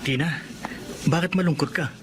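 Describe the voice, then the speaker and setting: A man's voice: on the high side, fairly quick, over loud music, lightly acted. Man playing a terse, guarded young man. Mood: serious. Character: guarded young adult son and older brother. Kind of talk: radio drama acting.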